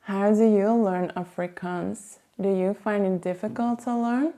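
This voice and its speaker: Slow, mellow Belgian Dutch-accented woman. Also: mid-range voice, fairly even intonation. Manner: slow, careful, measured delivery. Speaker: language vlogger. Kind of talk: scripted vlog talk to camera.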